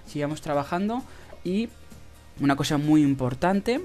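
Casual tutorial host, Spain Spanish accent, medium pitch, hesitant and halting, drawn-out pauses, music in the background. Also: animated, a little mellow. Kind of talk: casual screen-recorded tutorial about earning money online.

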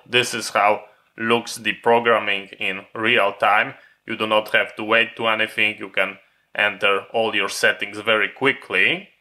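Bright-voiced male instructor, unhurried. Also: on the low side. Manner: methodical, step-by-step. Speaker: instructor demonstrating radio programming. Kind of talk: hands-on tutorial explanation.

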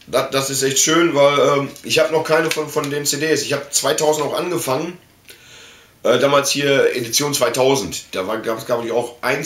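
A man's voice: medium pitch, a bit bright. A man in his forties from Germany, chatting in a colloquial, slangy, enthusiastic way as a vlogger showing off collectibles. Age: 40s. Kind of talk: casual unboxing chat. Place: small indoor room full of collectibles. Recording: echoey room with faint noise, clicks.

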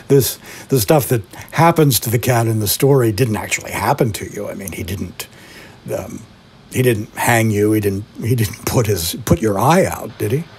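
A man playing a polite, slightly flustered interviewer, speaking courteously and haltingly in a comic phone interview. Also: fairly low pitch, expressive, a little mellow, slight background noise, natural voice. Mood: tentative, curious.

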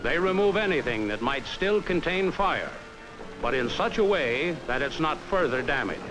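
An American man reading a scripted 1950s training film narration in a measured, instructive, carefully enunciated way, on a vintage film soundtrack. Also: fairly high pitch, big pitch swings, low-quality recording. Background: background music.

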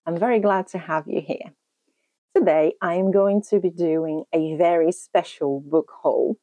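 A woman in her thirties from Brazil, Portuguese as her first language, talks casually into a camera at home. Her voice sits low and sounds mellow. The sound is dry, with no room echo. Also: fairly even intonation, measured pace. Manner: chatty, thinking aloud with many ums and restarts. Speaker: book channel host. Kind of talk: casual book haul vlog. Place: room at home in front of a bookshelf.

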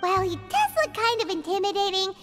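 A woman voicing a small, excitable fairy girl companion in a video game, squeaky, childlike and chirpy, speaking of herself in the third person. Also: fairly quick. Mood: chatty. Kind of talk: animated video game acting. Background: game music.

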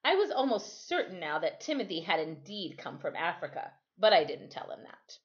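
Storytime reader: middle-aged woman, measured and expressive, animated pitch, modest lo-fi home recording. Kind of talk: reading a children's novel aloud. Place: small furnished room at home. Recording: slightly dull, lo-fi webcam sound.

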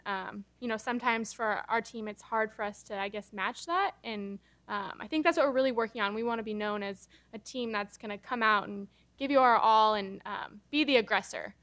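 A woman's voice: on the high side, a little fast, few pauses, bright, forceful tone. A young woman basketball player answering postgame questions at a press table, casual and hesitant with many fillers. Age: young adult. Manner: casual, hesitant with many ums and you knows. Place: press conference room. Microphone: table microphone.

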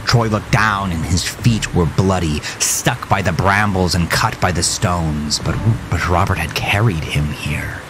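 A man's voice: sits low, unhurried, hardly any pauses, background noise. A man giving dramatic scripted horror narration in an ominous, theatrical manner. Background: music.

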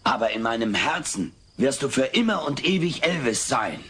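An actor playing a stubborn, cheeky alien in a dubbed sitcom audio play, theatrical and full of wisecracks. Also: male voice, mid-range voice, sing-song, moderate pace, a bit bright, slightly rough. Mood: insistent. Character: cheeky, stubborn male alien, wisecracking pop-culture fan.

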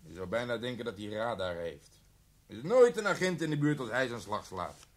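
A man acting as an irritable police officer in a radio play. He grumbles with biting sarcasm, in a medium-pitched voice, sounding thoroughly exasperated. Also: very animated, fairly quick, close to an everyday voice. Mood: sarcastic, exasperated. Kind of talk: Dutch radio drama acting.

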